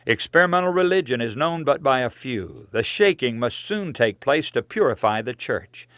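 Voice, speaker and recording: Animated male preacher, dry close sound. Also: mid-range voice, moderate pace, no echo. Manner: earnest, admonishing, with rhetorical questions. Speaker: preacher reading own religious book. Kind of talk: sermon-like narration of written text.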